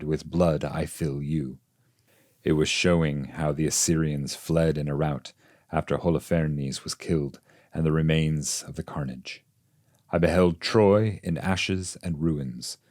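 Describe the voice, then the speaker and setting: A man with a low-pitched voice narrates a literary audiobook of classic verse. His delivery is measured and solemn, and the recording is dry, with very little room sound. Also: darkish tone, no echo. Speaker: translator and reader of a classic poem.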